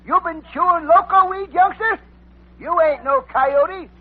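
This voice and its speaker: Very high-pitched folksy cowboy. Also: male voice, a little slow. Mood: surprised, scolding. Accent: American Western drawl. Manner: folksy, insistent, sputtering. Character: folksy cowboy stranger reasoning with a wild boy. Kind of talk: 1940s American radio western acting. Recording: tinny vintage radio recording.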